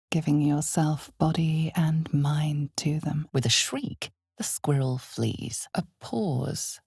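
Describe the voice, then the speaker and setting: A woman voicing a grave, ominous narrator of a dark fantasy video game, speaking in measured, deliberate dramatic narration with a breathy, husky voice that often drops toward a whisper. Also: deep voice, no room sound, studio quality, full character voice. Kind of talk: scripted dramatic second-person fantasy narration.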